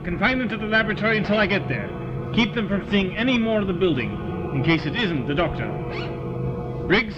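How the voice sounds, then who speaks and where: Exasperated commanding colonel played by a man, clipped orders, unusually high lively voice, dull sound, music underneath. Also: brisk. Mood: harried. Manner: clipped, authoritative. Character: brisk, exasperated male military colonel in command. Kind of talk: audio drama acting.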